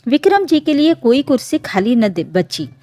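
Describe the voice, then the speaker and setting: Expressive woman storyteller, high lively pitch. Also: moderate pace, no echo. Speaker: story narrator. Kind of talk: scripted narration of an emotional family story, voicing dialogue.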